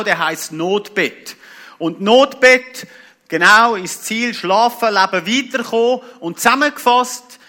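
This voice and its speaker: Bright, high-voiced Swiss German preacher, a man. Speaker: guest preacher and missionary. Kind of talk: informal church talk with slides.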